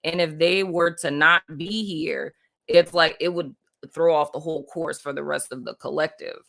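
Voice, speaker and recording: A woman's voice: sits low, steady tone, normal speed, gravelly, fairly bright tone. A woman from Puerto Rico hosting a casual spiritual live stream, rambling loosely with lots of "like" and "you know what I mean" and frequent laughter. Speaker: livestream host.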